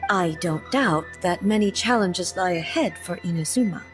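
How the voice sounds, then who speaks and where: Actress as regal goddess ruler: measured, formal, composed; faint game music under. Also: female voice, fairly low pitch, close to an everyday voice. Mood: solemn. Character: solemn regal female deity ruler, composed.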